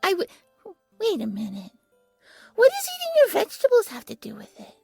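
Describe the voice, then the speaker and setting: High-pitched, timid little bunny girl. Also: wildly swooping pitch, brisk, vocal fry, a little mellow, dry recording, full character voice. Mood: eager, proud. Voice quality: small childlike voice. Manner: hesitant, stammering. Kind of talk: audio roleplay drama. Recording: music under the voice.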